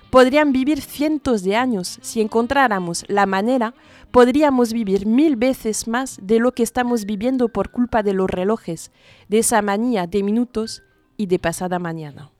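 A woman's voice: fairly high pitch, darkish tone, lightly acted. A woman reading the part of a restless male jazz musician obsessed with time, in an intense, questioning tone. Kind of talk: literary reading.

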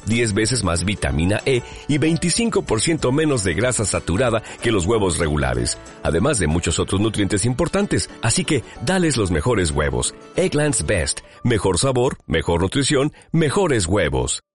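A man's voice: on the low side, big pitch swings, moderate pace. A man reading a scripted, upbeat advertisement as an announcer. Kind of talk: upbeat scripted commercial read. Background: faint music.

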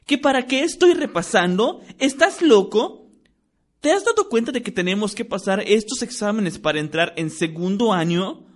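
High lively voice, insistent know-it-all schoolgirl, storybook reading, done by a man. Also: moderate pace. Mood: exasperated. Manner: insistent, lecturing. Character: bossy studious schoolgirl, anxious know-it-all.

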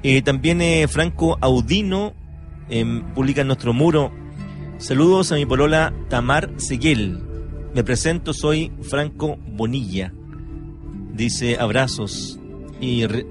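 A man's voice: mid-range voice, a little slow. A man with a Chilean accent hosting casual radio talk, politely and hesitantly searching for words. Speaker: radio host. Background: soft music.

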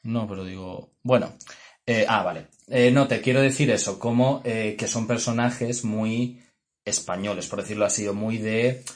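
A young man from Extremadura, Spain, co-hosting a podcast in a casual film chat, chatty and quick to laugh as he leads the questions. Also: on the low side, fairly even intonation. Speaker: podcast co-host.

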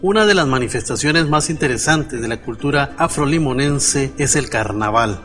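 Un hombre que lee una narración documental preparada para un programa de radio, con tono formal y pausado. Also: male voice, mid-range voice, expressive. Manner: formal, measured reading. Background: music.

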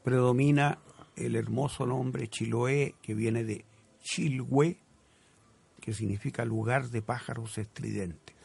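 A man's voice: medium pitch, fairly even intonation, takes it slowly, gravelly, a little mellow, breathy edge. A man with a Chilean accent talking as a guest writer in a live radio interview, reflective and often searching for words in literary phrasing.

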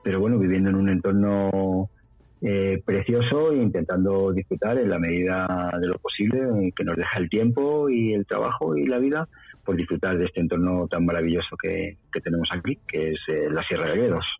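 Low husky Spanish man, dull lo-fi sound. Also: a little fast, no room sound. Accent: central Spanish. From Madrid, Spain. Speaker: interview guest, rural mountain dweller. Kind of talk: casual conversational storytelling. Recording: dull lo-fi audio, faint music.

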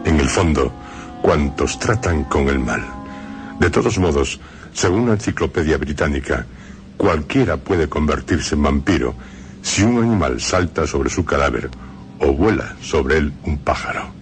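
A man hosting and narrating a radio drama program, speaking in a measured, ominous way with dramatic pauses. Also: extremely low pitch, lively intonation. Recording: radio broadcast with clear music bed.

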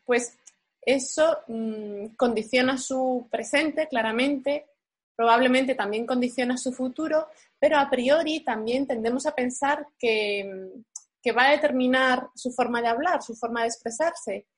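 Woman, slightly high pitch, programme host, narration and interview questions. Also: measured pace, slightly rough.

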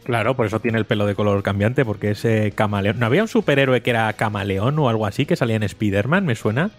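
A man's voice: lowish voice, fast. Joking male podcast host, faint music. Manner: joking, laughs often. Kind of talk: casual chat.

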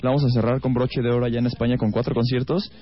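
A man's voice: mid-range voice, flat delivery, very fast, dull audio. A twenty-two-year-old Mexican man, a boy band singer, chatty and joking in a radio interview.

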